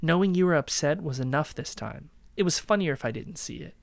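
A man's voice: a little high, animated, a little fast, creaky, dry recording. A man acting a cruel, gloating killer who coldly taunts his victim in a dramatic crime story reading. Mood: gloating, taunting.

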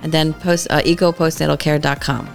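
A woman with a notably low, gravelly voice, speaking as a guest on a chatty podcast. She talks in a soft, unhurried, soothing way, and faint music sits under her voice. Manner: soft, calm, soothing way of talking. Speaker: podcast guest, postnatal care provider. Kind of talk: casual podcast interview.

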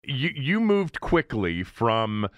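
A man's voice: medium pitch, very animated, takes it slowly, fairly bright tone, dull audio, slight background noise. A middle-aged or older man from the Washington, D.C. area hosting a casual sports interview in a rambling, conversational way. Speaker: sports talk host.

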